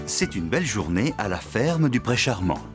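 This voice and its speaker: A man's voice: fairly low pitch, very animated, moderate pace, loud music behind the voice. A man narrating a scripted children's cartoon story.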